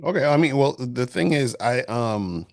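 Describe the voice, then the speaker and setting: A man's voice: mid-range voice, animated, normal speed, gravelly, a little mellow. A man and dating coach explaining his view in an online debate, often checking that he is understood. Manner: explanatory, keeps checking "you see what I'm saying".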